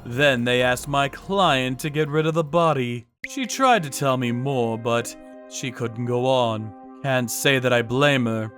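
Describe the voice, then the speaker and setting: Guy voicing a hardboiled torture guy telling the story, flat grim delivery, average pitch. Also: unhurried, faint music behind, natural voice. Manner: grim, steady narration. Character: hardboiled male vigilante torturer narrator. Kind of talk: manga dub narration.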